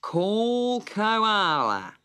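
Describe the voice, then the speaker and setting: A woman voicing a pompous male pelican running a trial, speaking slowly and formally. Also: mid-range voice, big pitch swings, a bit bright, pitched above the performer's own voice, full character voice. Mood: solemn. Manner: slow, measured, formal.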